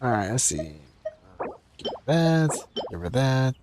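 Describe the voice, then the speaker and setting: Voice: mid-range voice, very animated, takes it slowly. A streamer giving casual gameplay commentary while playing. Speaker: game streamer. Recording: clear background noise, clicks.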